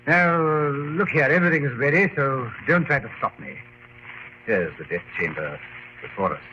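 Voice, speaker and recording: An actor playing a confident, scornful aristocrat with breezy diction in an old radio drama. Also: male voice, medium pitch, lively intonation, moderate pace, natural voice. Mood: jovial. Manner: hearty, breezy, old-fashioned upper-class diction. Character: confident English aristocrat, jovially scornful of ghost legends. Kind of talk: 1950s radio drama acting. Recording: noisy lo-fi old radio recording.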